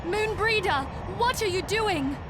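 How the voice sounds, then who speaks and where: High-voiced earnest woman leader. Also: lively intonation, measured pace, background noise. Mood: alarmed. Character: earnest young woman leader, pleading and grieving. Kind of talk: video game cutscene voice acting.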